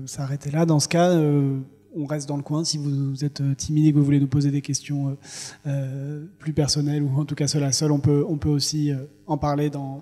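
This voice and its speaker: A man wraps up the session, casual and a little hesitant, far from the mic. His voice is fairly high but flat and dark. The hall adds a long reverb. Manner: informal, hesitant. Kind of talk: closing remarks.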